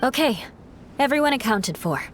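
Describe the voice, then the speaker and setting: A woman playing a composed young female knight in a video game, practical, measured and decisive. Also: a little high, lively intonation, a little hiss or hum, lightly acted. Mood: calm reassurance. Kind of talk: video game cutscene acting. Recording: music under the voice.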